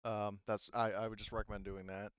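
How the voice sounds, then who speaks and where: A man's voice: on the low side, little pitch movement, brisk, clean tone, no room sound, dull audio. A man running a tabletop game as game master, explaining rules and thinking aloud in a casual way.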